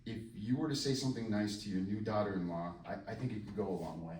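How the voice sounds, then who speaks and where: A man at a screenplay table read playing a smooth-talking, scheming, sardonic wedding DJ. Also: on the low side, quick pace, a little mellow, close to an everyday voice. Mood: conspiring. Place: festival table read in a room. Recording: roomy, distant mic, faint noise.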